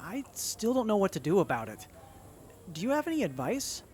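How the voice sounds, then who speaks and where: Man playing a flustered young guy, lively pitch. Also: a little fast, a little hiss or hum. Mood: shy, friendly. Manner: flustered, stammering, earnest. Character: nervous lovestruck young male tinkerer with robotic arm. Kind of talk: post-apocalyptic audio drama acting.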